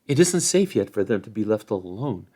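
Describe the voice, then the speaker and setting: A man's voice: on the low side, very animated, brisk, mellow. A man voicing a loyal, plain-spoken young hobbit with homely rustic speech. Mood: worried.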